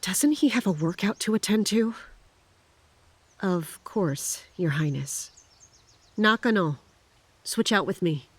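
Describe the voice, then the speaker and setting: A woman playing a guarded, troubled military captain in an audio drama, speaking and narrating in a restrained, formal, deliberate way. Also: medium pitch, expressive, husky, dry recording. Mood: stiffly deferential. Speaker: lead actor and first-person narrator. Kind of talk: audio drama acting with inner monologue.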